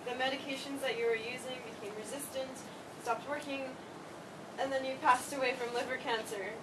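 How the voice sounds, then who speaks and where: A woman's voice: high voice, fairly even intonation, a little fast, close to an everyday voice. A woman in a staged play reading, playing an emotional college-age daughter who pleads with her mother. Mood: earnest. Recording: distant, noisy live stage recording.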